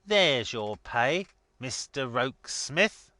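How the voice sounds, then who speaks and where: A man's voice: medium pitch, big pitch swings, slow, sharp tone, no echo, voice pushed up, exaggerated voice. A man voicing a blustering, scornful older rich man in a dramatic story reading, emphatic and mocking.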